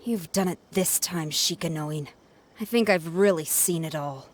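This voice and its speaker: A woman's voice: low voice, unhurried. Stern, commanding woman officer. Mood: exasperated. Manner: formal, authoritative, clipped. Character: stern, commanding female police commander reprimanding a subordinate. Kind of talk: scripted video game dialogue. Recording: music behind the voice.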